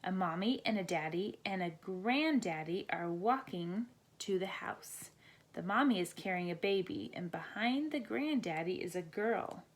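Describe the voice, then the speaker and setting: A woman narrating a children's bedtime story in deliberate, short, simple phrases. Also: on the low side, animated. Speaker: storybook reader.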